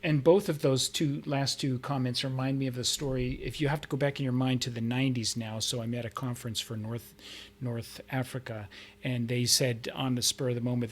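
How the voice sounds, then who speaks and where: A man's voice: medium pitch, a bit bright, slightly rough. A man speaking in a casual, conversational storytelling style, as a podcast host would.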